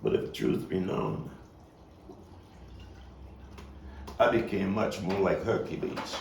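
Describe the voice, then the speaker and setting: An actor playing a weary, foul-mouthed, combative neighborhood philosopher in a halting, emphatic stage monologue, his voice gravelly. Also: sits low, sing-song, exaggerated voice. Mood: wistful, grand. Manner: rambling, profane, halting, emphatic street talk. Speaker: playwright and actor. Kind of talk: theatrical monologue at a table read. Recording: distant mic, roomy echo, faint noise.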